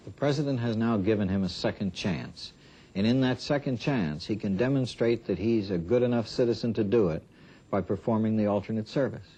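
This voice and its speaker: An American man giving formal interview answers as a government official, speaking in a deliberate, halting way. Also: lowish voice, dark tone, breathy edge. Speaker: government program director being interviewed. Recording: dull 1970s archive interview audio.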